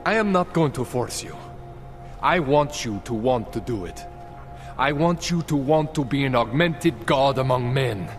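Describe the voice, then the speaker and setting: Game role: slick, jittery male underground tech, acted by a man. Swooping, animated pitch, wheedling, music behind. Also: unhurried, close to an everyday voice. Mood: coaxing. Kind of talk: video game cutscene acting.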